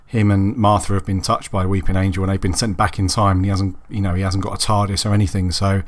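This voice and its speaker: A low-voiced man talks through his solo podcast, and a cold leaves him raspy and husky. He chats casually and loosely, full of ums and restarts. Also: little pitch movement, quick pace. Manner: rambling, with many ums and restarts. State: hoarse from a cold. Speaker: podcast host.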